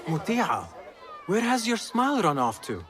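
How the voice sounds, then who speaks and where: A man's voice: high-pitched, huge pitch swings, unhurried, a crowd in the background, heavily acted. A man playing a confident, teasing young street thief in a video game. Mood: playful, consoling. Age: young adult. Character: cocky, playful, warm-hearted young male street thief. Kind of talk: dramatic video game acting.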